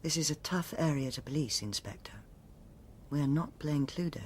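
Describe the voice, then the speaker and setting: An actress playing a cool, exacting police superintendent in a radio drama, with a formal, cutting, authoritative manner. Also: female voice, low-pitched, animated, moderate pace, a little hiss or hum. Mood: stern.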